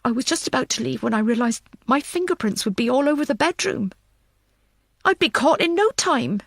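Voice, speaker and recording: A woman's voice: sits high, animated, brisk, vocal fry, fairly bright tone, dry recording, clean studio sound. A woman reading a scripted thriller audiobook as narrator.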